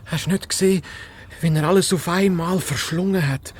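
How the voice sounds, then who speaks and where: An actor playing an anxious, suspicious little hedgehog detective sidekick in a children's fairy-tale radio play, fretful and full of doubt. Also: male voice, high voice, brisk, husky. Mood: frightened, insistent.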